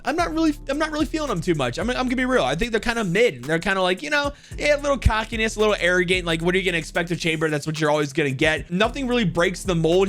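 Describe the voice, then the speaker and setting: High-voiced young man, casual commentary. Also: fairly bright tone. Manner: informal, slangy, addresses viewers as guys. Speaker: gaming video host.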